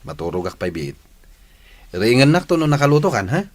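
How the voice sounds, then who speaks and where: A man's voice: medium pitch, big pitch swings, a little fast. A man with an Ilocano accent acting a breezy, bossy, thrifty young husband in a comedy drama. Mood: casual, bossy.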